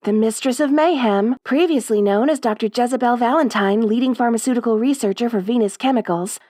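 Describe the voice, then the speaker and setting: A woman voicing a polished female TV news anchor with a crisp newsreader cadence. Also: on the high side, a little mellow, no room sound, studio quality, close to an everyday voice.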